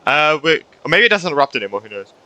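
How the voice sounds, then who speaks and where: A man doing casual let's play commentary, reacting and thinking out loud, with some swearing. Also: a little high, sing-song, fast talker. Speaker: gaming YouTuber and streamer. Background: game music.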